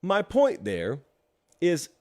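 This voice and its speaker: A man's voice: on the high side, huge pitch swings, slow pace, a little mellow. A middle-aged man hosting a live sports talk show, his voice stuffed up and clogged from allergies. He talks in a loose, chatty way and drops dry jokes aimed at his live chat. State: congested from allergies. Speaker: college football show host. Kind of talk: live-streamed solo talk show with ad read.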